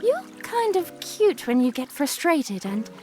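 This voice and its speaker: Woman acting a sweet, high-voiced young princess. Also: sing-song, a little slow, over music. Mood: sweet, tender. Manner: sweet, chirpy, polite formal phrasing. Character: playful young princess, sweet, teasing and gracious. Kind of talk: audio drama character acting.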